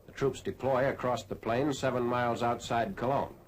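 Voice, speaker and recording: A man's voice: mid-range voice, fairly even intonation, normal speed. An American man reading scripted wartime newsreel narration in a formal, clipped announcer style. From United States. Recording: noisy 1940s film soundtrack.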